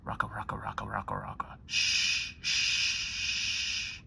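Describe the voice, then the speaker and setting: A man voicing a sleepy steam train in a children's storybook, with drawn-out hoots and hushed rhythmic chants. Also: on the low side, completely flat delivery, vocal fry, in a whisper, pitched below the performer's own voice, exaggerated voice. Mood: hushed, soothing. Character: steam train engine, hooting and chuffing sleepily.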